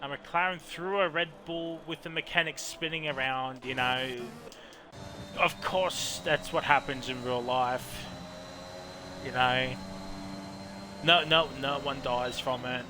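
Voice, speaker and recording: A man commentating a live online racing league, reacting with quick exclamations and chatty asides. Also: mid-range voice, fairly even intonation. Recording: race game engine noise behind voice.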